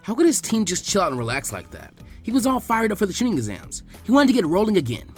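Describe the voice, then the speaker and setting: Male narrator for fan fiction audio, higher-pitched voice, quick, expressive, light music underneath.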